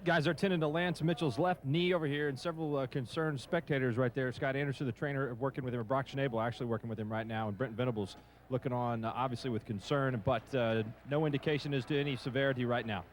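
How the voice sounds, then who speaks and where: A man's voice: mid-range voice, steady tone, fast talker. A man giving a live sideline report on a radio football broadcast. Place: outdoor stadium sideline.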